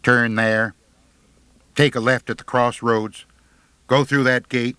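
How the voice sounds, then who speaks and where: A man voicing a mean, snappish teenage backwoods girl with a squeaky little voice in an audiobook story. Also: fairly even intonation, slow pace, bright, forceful tone, clean tone, no echo. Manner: snapping, curt, rustic grammar.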